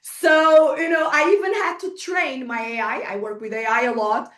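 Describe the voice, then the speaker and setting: A woman's voice: high voice, little pitch movement, normal speed, fairly bright tone. A woman with a Portuguese accent, a Portuguese native speaker, giving a chatty motivational workshop talk to an online group, full of restarts and small laughs. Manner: chatty, frequent restarts and fillers, laughs at herself. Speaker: guest speaker. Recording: echoey room, distant from the mic.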